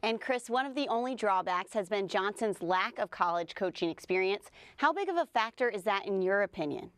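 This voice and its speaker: A woman's voice: mid-range voice, lively intonation, fairly quick, fairly bright tone, smooth, dry recording. A woman co-hosting a sports broadcast with casual banter and presenter links. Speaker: sports show co-host.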